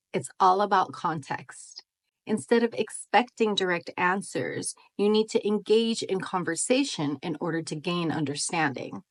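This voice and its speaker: A woman talking to her viewers in a friendly, explanatory way and often asking rhetorical questions. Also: fairly low pitch. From United States. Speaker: vlogger. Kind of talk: scripted talk to camera.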